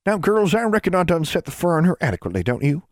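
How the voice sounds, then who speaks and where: Man voicing shrill old Ozark granny. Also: very animated, quick pace, no echo. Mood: folksy. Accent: Ozark rural American. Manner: scolding, drawling, high put-on old-woman voice. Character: stern folksy rural great-grandmother, old woman. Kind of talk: audiobook character voice.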